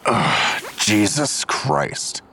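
A man playing a weary, irritable investigator in an audio drama, curt and gruff. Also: fairly low pitch, big pitch swings, drawn-out words, noisy background, exaggerated voice.

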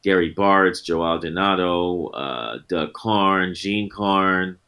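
A man's voice: low-pitched, takes it slowly, bright, forceful tone. A man in his forties talking as a guest in an interview, laid-back and reflective, often circling back on his thoughts. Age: 40s. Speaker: guest musician. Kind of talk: casual interview talk.

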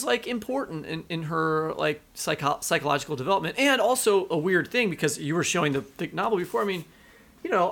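A man co-hosting a literary podcast, talking through his thoughts in a halting, conversational way. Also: high voice, vocal fry, fairly bright tone. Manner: halting, conversational, thinking aloud. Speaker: podcast co-host. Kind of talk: literary interview discussion.

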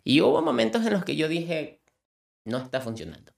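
A man's voice: fairly high pitch, big pitch swings, normal speed, creaky. A young man from Ecuador, who rescues animals. He tells his story in an earnest, heartfelt way and often repeats words when he is moved. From Ecuador, coastal Manta. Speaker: animal rescuer and content creator. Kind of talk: personal storytelling in an interview.

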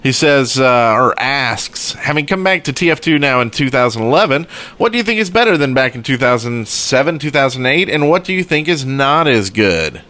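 Casting: male podcast co-host, medium pitch with lively swings, informal delivery. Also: moderate pace. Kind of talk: casual chat.

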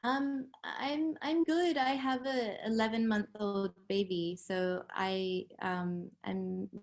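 A woman in her thirties from Hong Kong, a novelist speaking hesitantly as an interview guest, with many ums and soft whispered bits. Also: mid-range voice, steady tone, takes it slowly. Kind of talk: casual interview.

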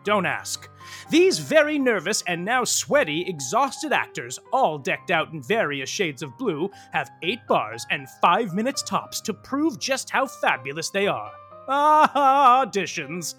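A man's voice: high-pitched, sing-song, measured pace, pushed and bright, soft background music, heavily acted. A man playing a chatty, theatrical radio drama host who narrates with playful, wry asides. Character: chatty theatrical male show host, wryly gushing. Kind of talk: scripted comic radio narration.

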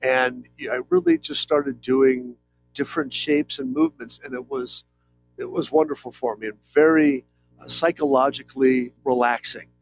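A man's voice: medium pitch, very animated, moderate pace, poor audio. Late-50s male guest, earnest and rambling, plenty of fillers; faint background music. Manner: earnest, rambling, many fillers and "if you will". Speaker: interview guest, painter. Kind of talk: reflective conversational interview.

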